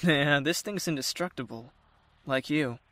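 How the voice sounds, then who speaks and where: A performer acts out a wounded, defiant teenage boy in a zombie apocalypse drama. The voice is bright and tense, with an earnest, insistent delivery that sounds dramatic and raw. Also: medium pitch, unhurried, close to an everyday voice. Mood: gentle, fond. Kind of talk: dramatic audio acting for visual-novel fan series.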